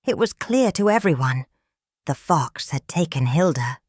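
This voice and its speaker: A woman reading a children's picture book aloud in a calm, measured, expressive storytelling manner. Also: deep voice, no echo, clean studio sound. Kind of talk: children's read-aloud narration.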